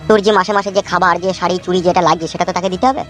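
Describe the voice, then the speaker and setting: A man's voice: sits high, fairly even intonation, racing, a bit bright, soft background music. A man voicing a scheming know-it-all young boss in a comedy cartoon, explaining and persuading.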